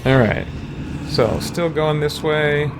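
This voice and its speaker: A man's voice: on the high side, slow, very noisy. A man streaming a video game, thinking aloud in casual commentary and chatting with viewers using mild exclamations.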